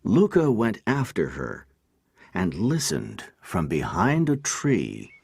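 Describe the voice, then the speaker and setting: A man with an American accent and a deep, creaky voice, narrating a scripted storybook for learners. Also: huge pitch swings, slow pace, darkish tone, no room sound, studio quality.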